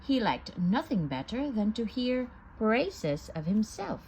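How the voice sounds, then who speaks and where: A woman reading a children's story aloud in an expressive, sing-song manner. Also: on the high side, slow pace, slight background noise, poor audio. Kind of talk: children's read-aloud narration.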